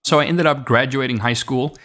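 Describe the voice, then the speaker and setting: A man's voice: mid-range voice, fairly quick, pushed and bright. South African man telling his story. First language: Afrikaans. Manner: reflective, with frequent restarts and fillers. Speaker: podcast guest. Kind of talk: conversational interview storytelling.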